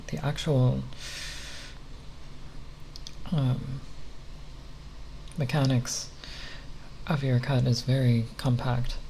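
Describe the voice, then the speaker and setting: A soft-spoken ASMR creator rambling unscripted, halting and often pausing mid-phrase. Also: medium pitch, a little hiss or hum, rough recording.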